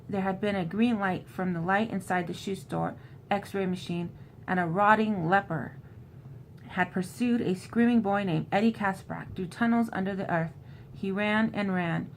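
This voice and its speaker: A woman's voice: medium pitch, slight background noise, low-quality recording. A woman in an informal, conversational style, recapping a book and reading passages from it aloud. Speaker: host recapping a horror novel.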